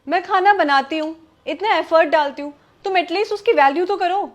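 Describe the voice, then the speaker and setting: Young Indian wife, played by an actress, high voice, proud, easily stung, speaks her mind in domestic drama. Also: expressive, fairly quick. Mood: angry. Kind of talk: scripted domestic drama acting in Hindi with some English.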